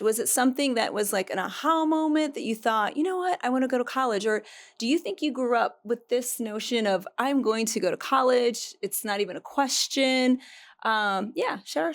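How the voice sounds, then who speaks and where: A woman's voice: fairly high pitch, lively intonation, moderate pace. A woman working as a university recruiting director, hosting a student panel with friendly, encouraging questions. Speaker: director of recruiting, interview host.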